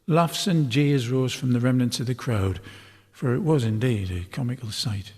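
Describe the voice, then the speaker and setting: A man reading a story aloud as scripted narration, in a measured, dramatic literary manner. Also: medium pitch, darkish tone, slightly rough. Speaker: author reading a novel chapter aloud. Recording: long hall-like reverb.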